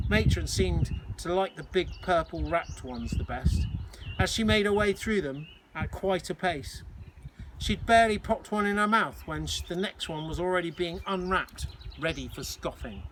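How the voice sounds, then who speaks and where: A man narrating a children's story aloud in the expressive style of a teacher reading to pupils. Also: sits high, moderate pace, background noise.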